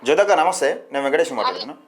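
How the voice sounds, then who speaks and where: Rapid-fire Telangana Telugu male interviewer. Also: mid-range voice, big pitch swings. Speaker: news reporter, interviewer. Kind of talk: phone interview, conversational questioning.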